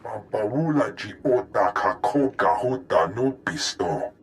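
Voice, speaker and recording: A somewhat low male alien follower in a sci-fi game. Noise sits behind the otherworldly speech. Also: expressive, unhurried. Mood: intent. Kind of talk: video game voice acting.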